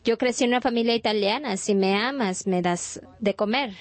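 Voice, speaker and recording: A woman's voice: a little high, moderate pace. A woman delivering a sermon in Spanish translation, talking in a conversational storytelling way with frequent restarts.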